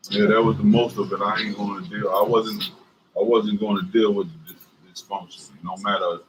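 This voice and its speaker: Deep voiced southern guy cussing a lot on a couples livestream, faint noise behind. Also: flat delivery, normal speed. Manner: profane and emphatic, many fillers. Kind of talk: casual livestream chat.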